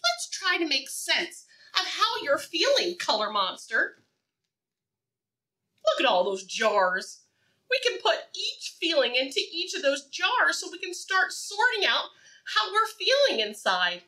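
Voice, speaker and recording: A woman preschool teacher doing an interactive read-aloud for young children, asking questions in an encouraging, sing-song way and pausing for answers. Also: very high-pitched, wildly swooping pitch, pushed and bright.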